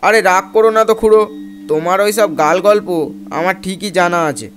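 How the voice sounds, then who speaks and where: A man playing a sceptical village listener with a light, teasing manner in an animated story. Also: high voice, moderate pace, close to an everyday voice.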